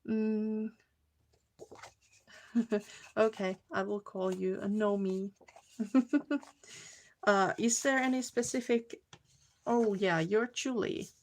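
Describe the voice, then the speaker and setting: A woman's voice: mid-range voice, fairly even intonation, slow pace, pauses often, darkish tone. A Finnish woman with a Finnish accent, chatting casually with viewers during a live card-making stream and sometimes hunting for words. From Finland. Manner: chatty, searches for words, talks to viewers while working. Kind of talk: casual live crafting chat. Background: clicking crafting tools.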